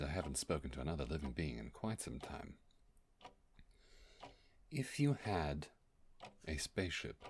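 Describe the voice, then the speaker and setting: An extremely deep-voiced ancient beast of learning, played by a man, speaks in grand, wordy phrases. The creature is bookish and socially awkward, hesitating as it tries to impress. It is an intimate roleplay monologue with faint noise behind the voice. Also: a little mellow, exaggerated voice. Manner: pompous wordy diction with fumbling hesitations. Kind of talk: immersive roleplay audio monologue.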